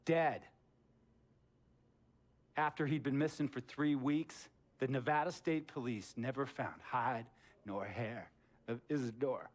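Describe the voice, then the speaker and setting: An actor playing an eccentric, wealthy, middle-aged boss in a video game cutscene, lecturing in a theatrical storytelling manner that swings between hushed and emphatic. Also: male voice, medium pitch, fairly even intonation, a little slow, pauses often, lightly acted. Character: eccentric wealthy sports boss, preachy, slick, controlling man. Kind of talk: dramatic game cutscene monologue.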